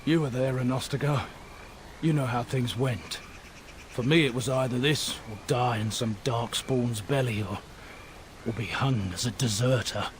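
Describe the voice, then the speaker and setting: Actor as weary, regretful dying soldier, halting. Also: male voice, mid-range voice, slight background noise, close to an everyday voice. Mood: regretful, resigned. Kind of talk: dramatic video game acting.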